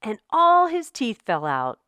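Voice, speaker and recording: A woman storyteller reads to young children at an unhurried pace, with a very lively, swinging pitch. The delivery is scripted and expressive, and the sound is close and dry. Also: clean tone, dry recording. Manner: slow, animated, deliberate storytelling delivery.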